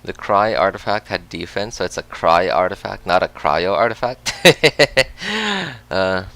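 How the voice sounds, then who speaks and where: A man's voice: low-pitched, unhurried, vocal fry, pushed and bright. A man from the Philippines chatting casually on a game live stream, answering his chat and laughing often.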